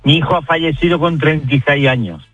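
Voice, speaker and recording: A man's voice: on the high side, fairly quick, a bit bright, slightly rough, no room sound, dull audio, faint music behind. A man speaking earnestly and emphatically in a recorded call played on air. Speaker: voter on a phone call.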